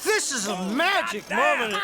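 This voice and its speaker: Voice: high voice, big pitch swings, moderate pace, loud music behind the voice. An actor playing a boisterous, grandiose magazine reporter in a film drama, exclaiming and carried away. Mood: excited, grandiose.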